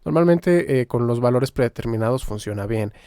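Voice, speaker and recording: A man giving an informal, explanatory software tutorial, pausing now and then as he demonstrates. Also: mid-range voice, animated, clean tone, no echo, studio quality, soft background music. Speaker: music software tutorial instructor.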